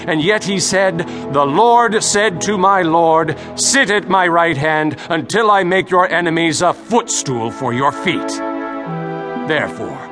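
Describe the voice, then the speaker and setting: An actor playing an earnest apostle preaching boldly, a man declaiming deliberately in a dramatized audio Bible. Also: fairly high pitch. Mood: assured, urgent. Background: music.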